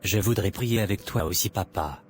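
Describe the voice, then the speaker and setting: An actor playing a worried teenage son in an animated drama, speaking earnestly and apologetically. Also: male voice, low voice, moderate pace, slight background noise, close to an everyday voice.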